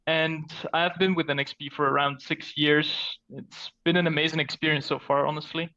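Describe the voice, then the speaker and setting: A man's voice: a little high, flat delivery, normal speed, pushed and bright, muffled recording. A man from Belgium, a guest on a tech podcast, explaining things in an earnest way with frequent "so" and "basically". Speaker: podcast guest, security architect. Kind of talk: casual technical interview.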